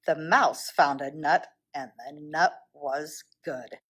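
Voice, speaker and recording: A woman's voice: low voice, slow, fairly bright tone, husky. A woman presenting story time for children as a zoo educator, speaking in a simple, explanatory way.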